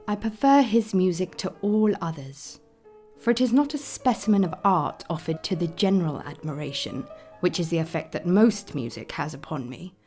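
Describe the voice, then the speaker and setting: Woman playing an ardent, lowish-voiced period lady. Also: animated, moderate pace, a little mellow, lightly acted. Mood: wistful admiration. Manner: earnest, formal, literary. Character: ardent, admiring nineteenth-century lady letter writer. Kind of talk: scripted radio play letter reading. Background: music.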